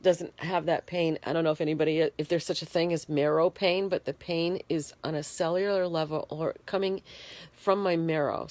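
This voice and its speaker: A woman in a bedroom talking frankly into a handheld phone, congested and shivering, pausing often with fillers. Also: deep voice, darkish tone, no room sound. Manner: plain-spoken, frank, halting with fillers. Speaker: vlogger. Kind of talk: casual personal video diary. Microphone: phone held in hand.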